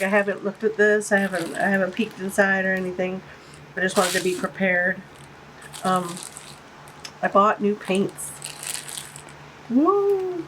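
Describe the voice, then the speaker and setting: A woman's voice: medium pitch, a little hiss or hum, rough recording. A woman hosting a casual art-supply unboxing vlog, chatty and gushing, with a stuffy, congested voice. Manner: gushing, chatty, cooing over tiny things. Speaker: art channel host. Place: home art studio, tabletop overhead shot. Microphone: camera-mounted shotgun mic.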